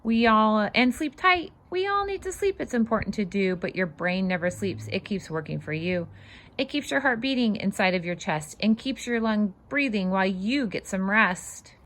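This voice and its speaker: A woman reading a children's storybook aloud in an expressive, sing-song way, with casual asides to her young listeners. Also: mid-range voice, moderate pace. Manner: animated, sing-song rhyming delivery. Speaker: children's read-aloud host and fitness instructor.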